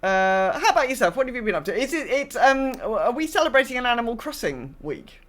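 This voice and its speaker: A woman's voice: a little high, very animated, fairly quick. A woman hosting a podcast, chatting casually about gaming in a wry way and slipping into imagined quotes. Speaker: podcast host.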